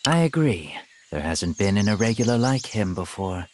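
An actor playing a calm, knowing, enigmatic ally in a game cutscene, measured and cryptic. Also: male voice, on the low side, a little hiss or hum. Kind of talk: dramatic game cutscene acting.

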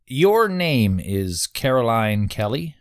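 A woman's voice: very deep voice, big pitch swings, takes it slowly, heavily acted. A woman playing a formal, methodical 1890s male prosecutor, questioning precisely in a dramatic courtroom reading. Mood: probing, formal.

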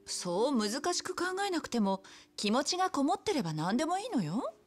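An actress playing a friendly bar hostess in a video game cutscene, chatty and encouraging, with soft feminine phrasing. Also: female voice, sits high, big pitch swings, brisk, faint music behind. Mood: coaxing, helpful. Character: friendly, coaxing bar hostess, a familiar big-sister confidante.

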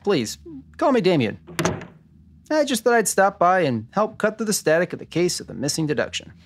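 A man's voice: sits high, big pitch swings, moderate pace, noisy background. A man playing a wry, pun-loving detective narrator in a 1940s-style noir radio drama. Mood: friendly, wry. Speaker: podcast host playing himself.

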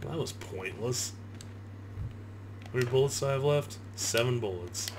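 Sweary low-voiced guy playing a video game. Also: normal speed. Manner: sweary, griping, self-deprecating. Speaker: gamer, video host. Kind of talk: casual gameplay commentary. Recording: clear game ambience noise behind. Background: horror video game sound.

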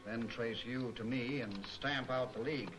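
A soft-spoken male criminal mastermind played by an actor in an old 1940s film serial. He talks formally and with measured, sardonic menace, with music clearly behind him. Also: medium pitch, fairly even intonation, close to an everyday voice. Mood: sardonic, calm.

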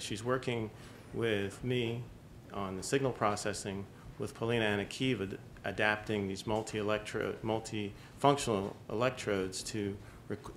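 A middle-aged professor with a fairly low voice briskly introduces his student from a lecture hall podium. He often restarts phrases, and a faint rumble sits behind him. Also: fairly bright tone. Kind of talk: speaker introduction. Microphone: podium microphone.

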